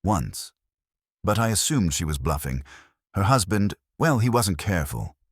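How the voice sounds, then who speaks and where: Man reading as guarded old deep-voiced councillor. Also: very animated, breathy edge, no echo, studio quality, full character voice. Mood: guarded, rueful. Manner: halting, guarded, terse. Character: reclusive, weary old retired councillor, guarded and evasive. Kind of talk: audiobook detective mystery character reading.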